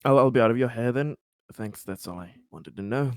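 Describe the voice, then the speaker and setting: A man's voice: medium pitch, fairly quick, dry recording, studio quality, natural voice. A man playing a worried colonist in a tabletop roleplay, speaking hesitantly and politely with stammers. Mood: placating. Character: worried colonist uneasy about armed soldiers, eager to smooth things over. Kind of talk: in-character improvised tabletop roleplay.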